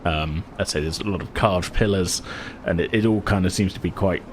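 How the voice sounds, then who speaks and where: Man, deep pitch, game master, casual rambling narration, self-correcting, faint noise behind. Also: a little fast.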